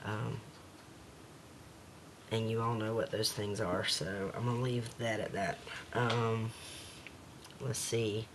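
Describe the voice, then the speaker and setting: A man with a slightly raspy voice talking hesitantly in a casual home video diary, with many pauses and fillers. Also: lowish voice, flat delivery, noisy background.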